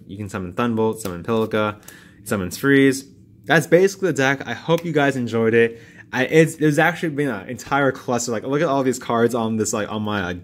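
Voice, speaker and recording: Casual male vlogger, rambling and filler-heavy, slightly strained voice. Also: mid-range voice. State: voice strained from long talking. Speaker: card game YouTuber. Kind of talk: casual card review vlog.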